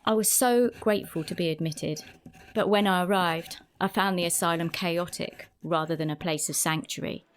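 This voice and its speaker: A woman's voice: lowish voice, normal speed, a little hiss or hum, natural voice. Actress as frank, streetwise young Georgian London woman. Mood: reflective. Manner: frank, plain-spoken. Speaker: actor and narrator in an audio drama. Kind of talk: audio drama dialogue and first-person narration.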